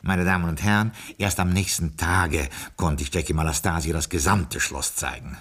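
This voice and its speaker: A haughty British butler of an old castle in a radio play. His voice is very deep and somewhat husky. He speaks in measured, stiffly formal phrases with deadpan disdain. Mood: dry narrating. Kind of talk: German radio play acting with narration.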